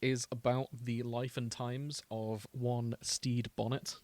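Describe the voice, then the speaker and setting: A man's voice: medium pitch, little pitch movement, takes it slowly, darkish tone, no echo. A man co-hosting a podcast, chatting casually and telling stories in a wry, mock-serious way. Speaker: podcast host. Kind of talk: casual comic chat.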